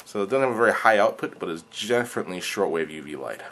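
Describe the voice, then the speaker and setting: Young adult male, low voice, conversational vlog style, hesitant delivery, noticeable background noise, living room camera mic. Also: fast talker. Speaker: video creator, hobbyist presenter. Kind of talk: casual explanatory vlog. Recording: clear steady background noise.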